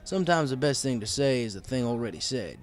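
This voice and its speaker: A man's voice: mid-range voice, very animated, fast. A man narrating a scripted first-person story in a wry, conversational storytelling way. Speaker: audiobook narrator.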